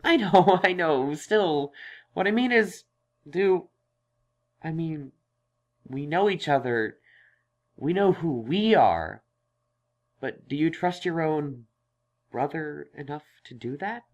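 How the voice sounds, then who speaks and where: A man playing a kindly young male pony scholar in an audio drama, reassuring but self-conscious and halting. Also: on the high side, lively intonation. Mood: flustered, awkward. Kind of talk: audio drama fan fiction reading.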